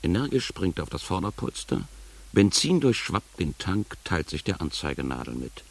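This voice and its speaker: An actor playing a brooding, poetic narrator of a radio play, speaking in deliberate, incantatory fragments. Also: male voice, low-pitched, sing-song, gravelly, husky, heavily acted. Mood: measured, cryptic. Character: brooding poetic male narrator, an inner voice of the city. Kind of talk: literary 1980s German radio play narration. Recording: hissy 1980s radio play tape.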